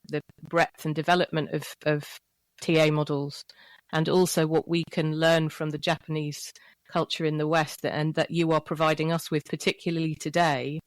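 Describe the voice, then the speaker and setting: A woman co-hosting a podcast, speaking in a thoughtful, measured way with frequent restarts. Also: deep voice, clear, resonant tone, no echo. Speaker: podcast co-host. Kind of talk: reflective interview talk.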